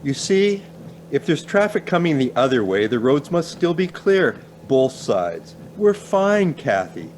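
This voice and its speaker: A man's voice: on the high side, big pitch swings, a little slow, a little mellow, a little hiss or hum. A man acting in a radio play as a reassuring, sceptical professor, formal and understated. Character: reassuring, sceptical male professor, measured and dry.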